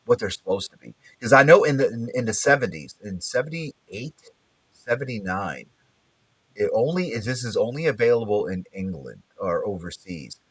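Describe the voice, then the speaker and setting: Low voiced guy hosting a geeky podcast, rambles on all excited and emphatic. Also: husky, rough recording. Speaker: podcast and radio show host. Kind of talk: casual pop culture chat.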